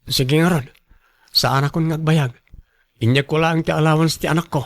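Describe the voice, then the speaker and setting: An earnest young father in a radio drama, pleading with his former partner. The voice is fairly high for a man, with a marked creak, recorded dry. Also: fairly even intonation, normal speed, dry recording. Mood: earnest, coaxing. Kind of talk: Ilocano radio drama acting.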